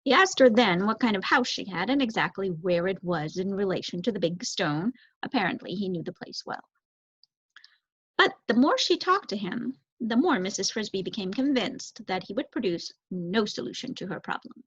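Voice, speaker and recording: A woman's voice: medium pitch, lively intonation, normal speed, breathy edge, dry recording. A young adult woman reading a children's story aloud as scripted narration. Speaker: storybook reader, student. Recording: dull, lo-fi close recording.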